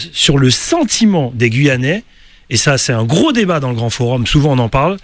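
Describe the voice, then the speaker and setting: Lively man hosting a call-in show. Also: on the high side, no room sound. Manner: lively, quick prompts to callers. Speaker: radio host. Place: radio studio.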